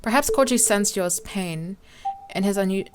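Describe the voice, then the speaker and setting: A woman's voice: medium pitch, normal speed. A woman hosting a let's play, reading a visual novel aloud informally, with some stumbles and game music behind her.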